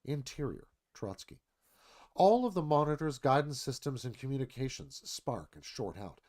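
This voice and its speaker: A man's voice: mid-range voice, big pitch swings, moderate pace, a little mellow. A man narrating stage directions in a dramatic, storytelling screenplay read.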